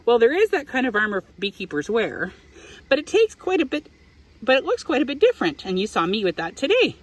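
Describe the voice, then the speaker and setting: A woman's voice: fairly high pitch, wildly swooping pitch, brisk, poor audio. A woman reading a picture book aloud to young children, expressively, with explanatory asides. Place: outdoors in an apiary.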